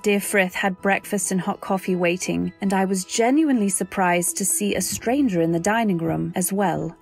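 A woman's voice: mid-range voice, moderate pace, creaky, breathy edge. A young newlywed in an old-time radio drama. She is polite and timid, speaking and narrating with earnest, genteel care. Faint music plays behind her. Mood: reflective.

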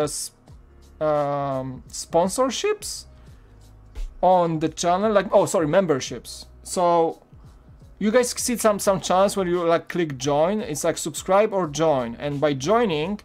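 A man in his thirties from Poland with a Polish accent, chatting casually on a live stream while building, with lots of fillers and restarts. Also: high voice. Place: home studio room.

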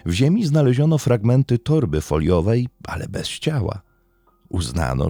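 A man narrating a scripted true-crime documentary in a measured, serious way. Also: low voice, dark tone, no room sound.

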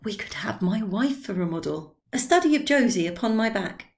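A woman voicing an excitable, drunken old man who talks eagerly and loudly in a read-aloud story. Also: moderate pace, creaky. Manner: eager, pleading, high and loud.

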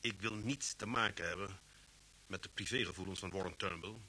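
An actor playing a stern, commanding chief inspector in an old radio drama, with authoritative, clipped delivery. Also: male voice, deep voice, brisk, bright, forceful tone, low-quality recording. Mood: stern, reproving. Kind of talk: vintage Dutch radio drama acting. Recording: old radio recording with faint noise.

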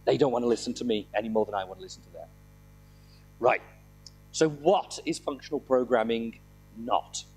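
A middle-aged man with a slightly croaky voice from a throat infection, giving an informal, self-deprecating technical talk with dry humour into a handheld microphone. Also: mid-range voice, darkish tone. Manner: self-deprecating, dryly humorous. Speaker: conference speaker. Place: indoor conference room, speaking on stage.

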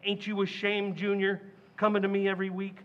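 A man acting a loud, indignant, stingy working-class father in an old-time radio comedy recreation. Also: high-pitched, monotone, brisk, highs cut off, natural voice. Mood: blustering. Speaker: radio actor.

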